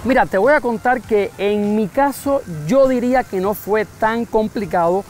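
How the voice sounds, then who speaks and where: A man's voice: high-pitched, unhurried, a little hiss or hum. A man from Cuba with a Cuban accent, talking in a casual street interview and often repeating his phrases as he thinks. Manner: talkative, thoughtful, repeats phrases. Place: outdoors on a city street.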